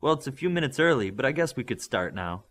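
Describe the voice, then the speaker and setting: A man's voice: medium pitch, big pitch swings, fast, a bit bright, pitched above the performer's own voice. A man doing a friendly team coach. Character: easygoing male team coach.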